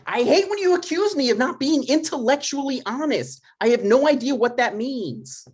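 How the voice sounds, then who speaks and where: A man's voice: extremely high pitch, lively intonation, moderate pace, a little mellow, slightly rough, heavily acted. A man playing a neurotic, touchy son in his thirties, argumentative and dry, in a scripted comedy table read. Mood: exasperated. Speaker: writer and actor in a table read.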